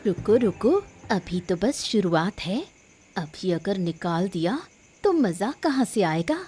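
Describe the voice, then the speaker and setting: A woman voicing a spiteful, scheming village wife in an animated moral story, mocking and scornful. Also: mid-range voice, slow, breathy edge, soft background music, exaggerated voice. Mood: spiteful, gloating.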